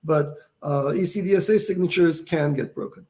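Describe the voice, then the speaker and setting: A man's voice: a little high, normal speed, warm tone. Tired man losing his voice. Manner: thinks aloud with many fillers and restarts. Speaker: founder answering viewer questions. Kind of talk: casual explanatory talk.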